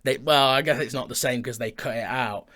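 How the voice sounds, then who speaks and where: A man's voice: mid-range voice, fairly quick, a bit bright. A man co-hosting a casual behind-the-scenes chat in a studio, talking in a chatty, informal and self-deprecating way. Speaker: podcast co-host and audio drama maker. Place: recording studio.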